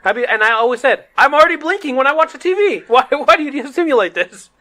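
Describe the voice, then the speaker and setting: A man's voice: very high-pitched, animated, fast, bright, forceful tone, slight background noise. A man co-hosting a podcast in casual conversation about games and films. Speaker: podcast co-host. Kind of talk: casual chat.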